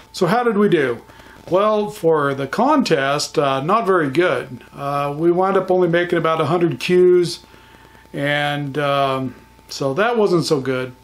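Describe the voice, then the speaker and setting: A middle-aged American man giving a casual video recap in a folksy, plain-spoken way. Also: fairly high pitch. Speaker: vlogger, amateur radio hobbyist.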